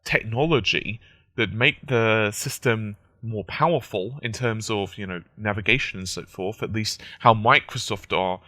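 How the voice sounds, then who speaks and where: A man with a croaky, just-woken-up voice, giving casual, analytical gaming news commentary. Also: on the low side, unhurried, bright, forceful tone, dry recording. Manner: conversational, analytical.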